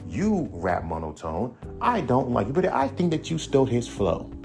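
A man hosting a podcast, ranting bluntly and profanely in a casual monologue, with a voice he calls monotone. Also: medium pitch, moderate pace, gravelly. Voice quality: self-described monotone voice. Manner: blunt, profane, animated rant. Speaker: podcast host. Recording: music playing behind the voice.

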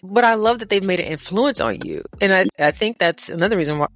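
An American woman chats as a podcast host with very lively intonation. Her talk is slangy and expressive. Soft music plays faintly underneath. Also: mid-range voice, quick pace. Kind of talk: casual chat.

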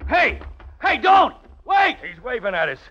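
Excitable henchman, muffled old radio. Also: extremely high pitch, measured pace, shouting, full character voice. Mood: alarmed. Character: excitable, skeptical henchman of a gang boss. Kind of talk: 1940s radio drama acting.

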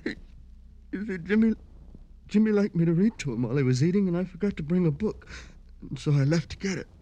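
An actor playing a guilt-ridden, broken man in an old radio crime drama, fragile and halting. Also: sits high, animated, dark tone, highs cut off. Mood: tearful, guilt-stricken. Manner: halting, anguished. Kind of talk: 1950s radio drama acting.

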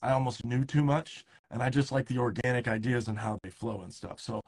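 Man in his thirties, podcast host, rambling casual chat, medium pitch. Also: a little fast, darkish tone, husky. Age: 30s. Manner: loose and rambling, lots of fillers. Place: San Diego.

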